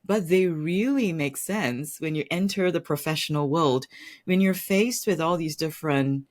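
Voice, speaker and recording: A woman's voice: sits low, moderate pace, a little mellow. A woman from Singapore, a guest on a podcast, telling her career story in a reflective, fluent way, often adding "right?" and "you know". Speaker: tech executive. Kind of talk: casual interview storytelling.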